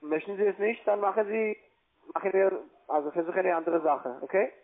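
A man with a high voice and a foreign accent works a cold call. He is pushy with his instructions, speaking in halting, broken phrases as a non-native speaker. The line is muffled and lo-fi. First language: not German. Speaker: cold-calling call-centre agent.

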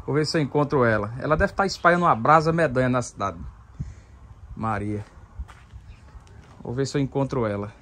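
A man's voice: medium pitch, brisk, long pauses, a bit bright, a little hiss or hum. A man, vlogging outdoors in a chatty way and laughing often. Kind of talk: casual vlog narration. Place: outdoors, rural sertão and car.

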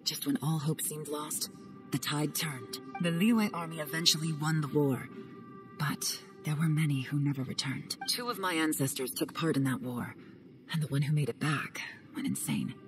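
Low-voiced cool spy woman, a woman voicing her, calm and measured, game music behind. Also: close to an everyday voice. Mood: grave. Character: cool, secretive young woman agent. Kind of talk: game cutscene voice acting.

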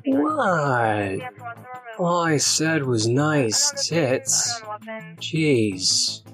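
Man acting a very slow, leering drunk. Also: fairly high pitch, very animated, over music, heavily acted. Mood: lecherous. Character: leering, sleazy drunk man in police custody.

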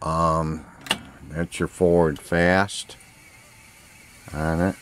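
A man selling gear gives a casual, unscripted demonstration, speaking plainly and haltingly in short phrases, recorded on a handheld camera indoors. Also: very deep voice, flat delivery, a little hiss or hum. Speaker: seller demonstrating vintage audio gear for an online listing. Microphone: handheld camera, speaker behind it.

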